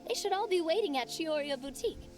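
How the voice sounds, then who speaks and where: A woman's voice: extremely high pitch, animated, fast talker. An actress playing a bubbly, playful young woman in a video game, theatrical and enthusiastic. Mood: cheerful. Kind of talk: video game character acting. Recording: music behind the voice.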